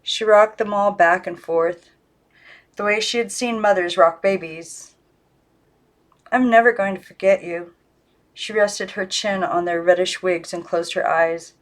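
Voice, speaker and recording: A woman's voice: lowish voice, fairly even intonation, unhurried. A woman giving scripted narration of a storybook as an amateur audiobook reader.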